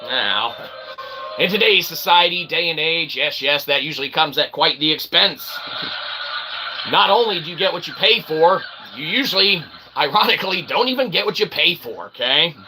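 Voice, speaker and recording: A sarcastic American man with a fairly high voice, wandering through a comedic rant with constant asides. The recording carries a clear layer of background noise. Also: animated, normal speed. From United States. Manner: sarcastic, rambling, full of fillers and asides. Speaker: channel host. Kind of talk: rambling comedic monologue.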